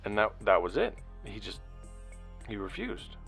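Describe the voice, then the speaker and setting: An actor playing an earnest investigator logging findings in a sci-fi audio drama, speaking in a halting, deliberate, conversational way. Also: male voice, on the low side, huge pitch swings, vocal fry, a bit bright, soft background music, heavily acted. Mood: sombre, reflective. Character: earnest male investigator recording a log of findings. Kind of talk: sci-fi audio drama monologue and field interviews.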